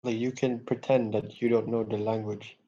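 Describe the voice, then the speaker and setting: A man's voice: lowish voice, level tone, moderate pace, warm tone, lo-fi sound. Curious young man asking questions. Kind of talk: casual chat.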